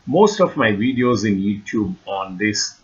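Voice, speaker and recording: A man with a fairly low voice and a Tamil Indian accent, native in Tamil, reads a prepared lecture. His phrasing is formal and deliberate. The recording sounds somewhat dull and lo-fi. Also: very animated. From India, Tamil region. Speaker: researcher and lecturer. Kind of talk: scripted lecture narration.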